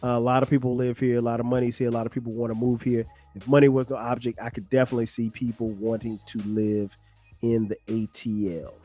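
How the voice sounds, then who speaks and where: A man's voice: mid-range voice, flat delivery, fast, warm tone, soft background music. A middle-aged man from South Carolina hosting his own podcast in a loose, chatty way, full of ums and casual slang. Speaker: podcast host. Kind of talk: casual solo podcast talk.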